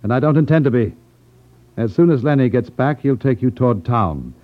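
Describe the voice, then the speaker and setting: Racket boss, male, acted: suave, sardonic, lively inflection, formal menace, dulled vintage radio drama sound. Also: medium pitch, natural voice. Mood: cool menace. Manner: formal, sardonic, measured phrasing. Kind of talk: 1950s radio drama acting. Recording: muffled 1950s radio recording.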